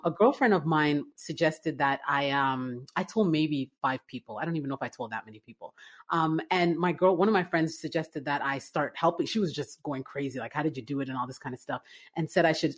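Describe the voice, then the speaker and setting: Low-pitched woman from canada chatting through her story, lots of ums and restarts. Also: a little fast. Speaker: guest, writing business owner. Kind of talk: casual interview conversation.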